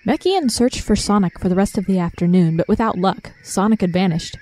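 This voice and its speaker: Narrator: medium pitch, scripted storytelling for an amateur radio drama. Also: fairly quick, darkish tone.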